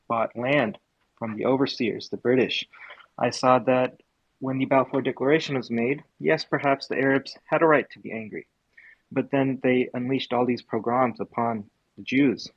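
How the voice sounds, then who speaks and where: Over a dull, low-fidelity mic with a dry, close sound, a young American man from Indiana speaks earnestly and emphatically. He often pauses on filler ums while he builds his points. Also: steady tone, dry recording. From Indiana, USA. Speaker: speaker in a live audio space. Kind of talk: impassioned political commentary.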